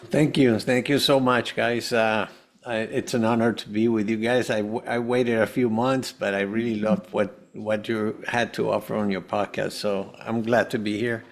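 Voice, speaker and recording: Low-pitched man telling his story haltingly. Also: fairly even intonation. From Venezuela. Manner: halting, often repeats words. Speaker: podcast guest, holistic health coach. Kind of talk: personal storytelling in an interview.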